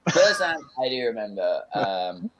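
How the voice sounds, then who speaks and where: A young man guesting on an interview podcast, talking in a relaxed, rambling way with lots of fillers and restarts. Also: fairly low pitch, measured pace, poor audio. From United Kingdom. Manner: rambling, laid-back, lots of fillers and self-corrections. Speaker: guest, music producer. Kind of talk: casual interview chat.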